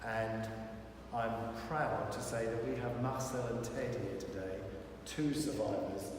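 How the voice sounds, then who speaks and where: A man's voice: fairly low pitch, fairly even intonation. Solemn man speaking in an echoey hall. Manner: measured, solemn, reading a letter aloud. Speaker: council event host. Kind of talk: formal memorial speech. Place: large council hall. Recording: echoey hall, mic far off, noisy.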